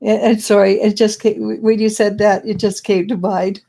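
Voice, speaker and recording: Husky-voiced woman psychic, gravelly and mellow. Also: mid-range voice, little pitch movement, moderate pace. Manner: rambling, restarting sentences. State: losing her voice, clearing throat. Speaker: psychic reader and show host. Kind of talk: live-stream spiritual advice chat.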